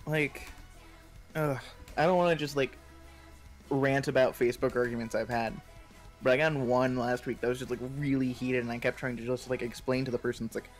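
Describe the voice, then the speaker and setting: A man's voice: mid-range voice, steady tone, a little fast. Casual, hedging American man with faint music. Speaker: stream co-host. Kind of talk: casual chat.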